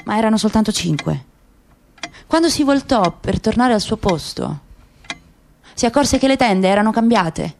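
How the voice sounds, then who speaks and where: A woman's voice: medium pitch, animated, normal speed, pauses often, darkish tone. A woman gives a dramatic literary reading as narrator in a radio play. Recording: clear background noise with clicks.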